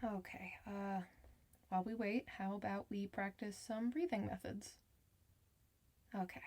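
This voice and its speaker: A woman playing a kind clinician in an ASMR medical roleplay, soft-spoken, reassuring and chatty with the patient. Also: mid-range voice, fairly even intonation, a little slow. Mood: calm, reassuring.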